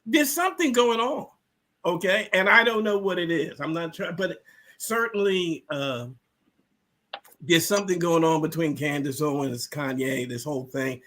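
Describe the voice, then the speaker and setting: A man with a high, forceful voice speaks as a panel guest. He is animated and opinionated, often repeating a phrase to press his point. The delivery is casual and emphatic. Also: moderate pace.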